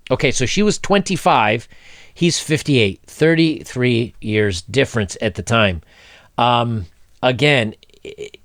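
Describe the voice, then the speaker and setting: A man's voice: medium pitch, vocal fry, dry recording, studio quality. A man in his forties hosting a commentary video, reading a news article aloud and breaking off to give his blunt opinions. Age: 40s. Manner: blunt, opinionated, animated, with hand gestures. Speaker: commentary channel host. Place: indoor home studio, seated in gaming chair. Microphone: desk microphone in front.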